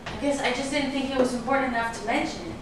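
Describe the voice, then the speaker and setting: A woman's voice: high voice, fast, close to an everyday voice. An actress playing an anxious, worn-down woman afraid of her volatile lover in a staged play reading. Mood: uneasy. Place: echoey indoor room at a staged reading. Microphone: distant microphone. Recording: distant echoey room, clearly noisy.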